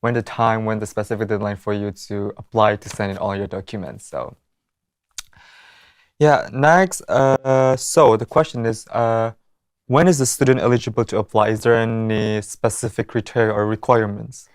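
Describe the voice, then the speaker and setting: A man's voice: on the low side, steady tone, moderate pace, smooth, no room sound, studio quality. A young man from Indonesia with an Indonesian accent, hosting a student talk show in an informal, word-repeating way. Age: young adult. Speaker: student host.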